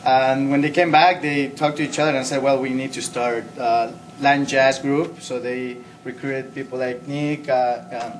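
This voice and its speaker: A man's voice: mid-range voice, steady tone, normal speed, few pauses. A man from Ecuador, a band singer, telling how his group started in a casual interview. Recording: distant mic, faint noise.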